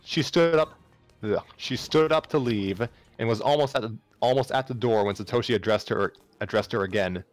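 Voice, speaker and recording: A man's voice: mid-range voice, normal speed. A man narrating a story aloud live, sometimes stumbling and rereading phrases. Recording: music playing behind the voice.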